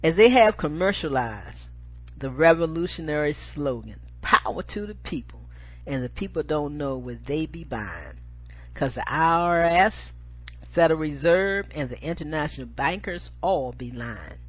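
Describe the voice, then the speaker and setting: An American woman with a husky voice, hosting and reading spoken-word poetry with an emphatic, deliberate delivery. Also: low voice, lively intonation. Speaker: show host and poetry reader. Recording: dull lo-fi audio.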